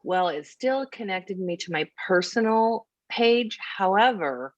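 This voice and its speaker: A woman's voice: mid-range voice, expressive, unhurried, no echo. A woman taking part in a webinar, casually explaining a tip over dull call audio. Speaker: webinar participant sharing a tip.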